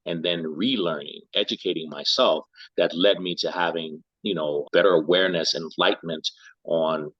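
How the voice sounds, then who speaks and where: Man, middle-aged, from Liberia, very low voice, reflective, dry dull recording. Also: steady tone, normal speed, no echo. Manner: thoughtful, repeats words as he searches. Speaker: guest, health and lifestyle coach. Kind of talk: reflective interview answers.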